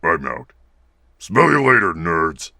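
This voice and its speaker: A man voicing a grumpy, brash monster villain king in a cartoon game parody, with a gravelly growl and an irritable, mocking manner. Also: low-pitched, big pitch swings, slow pace, sharp tone, full character voice. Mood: dismissive. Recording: music behind the voice.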